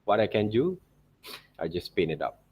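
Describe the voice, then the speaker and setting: A man narrating an informal art software tutorial as a teacher, in loosely phrased non-native English, often checking in with short tag words. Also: fairly low pitch, sing-song, moderate pace, husky, dull audio. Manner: repeats check words like okay and right.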